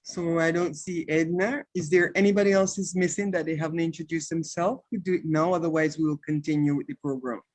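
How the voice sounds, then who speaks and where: A woman's voice: deep voice, steady tone, fairly quick, hardly any pauses, darkish tone. A woman hosting an online meeting, politely inviting people to introduce themselves over a video call. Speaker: meeting host.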